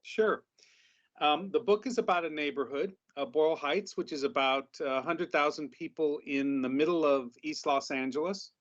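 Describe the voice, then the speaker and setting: Measured middle-aged professor, fairly high voice. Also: male voice. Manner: measured, academic explaining. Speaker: professor, interview guest. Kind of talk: interview answers. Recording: dull lo-fi sound.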